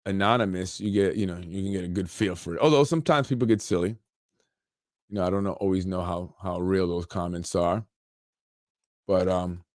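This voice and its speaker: A man's voice: deep voice, a little mellow, no room sound. A man hosting a podcast, reading an article aloud and adding rambling, chuckling asides with many restarts, close to the mic. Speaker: podcast host. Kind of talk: casual political commentary reading an article aloud.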